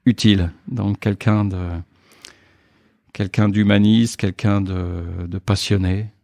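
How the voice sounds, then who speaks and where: A man's voice: fairly low pitch, flat delivery, takes it slowly, warm tone, no room sound, clean studio sound. A man in his early fifties from Paris, speaking as a guest in a podcast interview, with many fillers and restarts. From Paris, France. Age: 50s.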